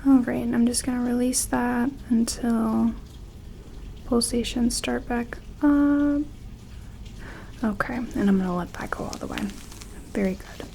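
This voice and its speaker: A woman doing an ASMR medical roleplay as a gentle doctor, soft-spoken and slipping into whispers, with tentative, reassuring phrasing. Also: fairly high pitch, steady tone, unhurried, gravelly, pauses often, a little hiss or hum. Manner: gentle, hesitant, reassuring clinician talk. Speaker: ASMR performer playing a doctor examining an alien.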